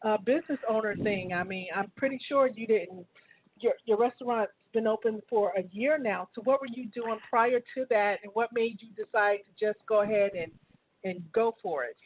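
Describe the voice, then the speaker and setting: A woman's voice: medium pitch, normal speed. A woman hosting an interview, asking casual questions with frequent hesitant restarts. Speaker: show host interviewing a business owner. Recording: tinny phone-like line with faint hiss.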